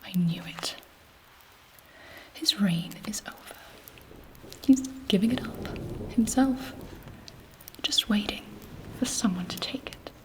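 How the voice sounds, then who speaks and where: Lady doing a ruthless vampire queen, whispery asmr roleplay, super slow n imperious. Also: medium pitch, lightly acted, low-quality recording. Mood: conspiratorial, calculating. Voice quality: breathy whisper. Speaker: ASMR performer.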